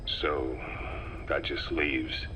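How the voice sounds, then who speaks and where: A man playing a shrewd, gruff intelligence officer in a video game, probing and matter-of-fact. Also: very deep voice, level tone, very slow, bright, forceful tone, heavily acted. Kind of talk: dramatic video game voice acting. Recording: faintly noisy field tape.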